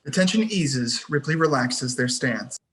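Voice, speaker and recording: Slightly husky screenplay narrator. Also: mid-range voice, expressive, unhurried, a bit bright. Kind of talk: scripted narration, reading screenplay action lines.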